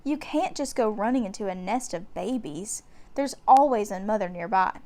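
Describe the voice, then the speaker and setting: A woman's voice: medium pitch, expressive, normal speed, mellow, lightly acted. A woman voicing a cautious, bookish, protective young boy in a children's storybook, giving urgent instructions. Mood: scolding. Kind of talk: children's storybook read-aloud.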